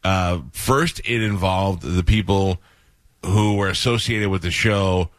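A man's voice: low voice, slow pace, no echo. A man hosting a radio show, telling stories in a rambling, dry way with many fillers. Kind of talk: casual radio chat.

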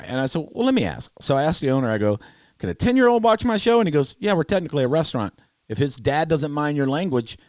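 Radio host guy, forties, crude teasing banter, really animated, slightly muffled dry sound. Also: mid-range voice, no room sound. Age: 40s. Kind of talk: casual comedic banter with callers.

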